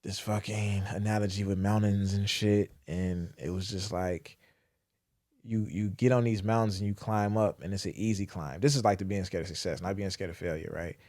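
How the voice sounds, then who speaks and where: A young man talks in loose, candid podcast chat, cursing casually as he reflects. His voice sits on the low side. The sound is dry and close, with little room around it. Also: steady tone, moderate pace, a little mellow, no echo. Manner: candid, profane, reflective storytelling. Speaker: podcast host.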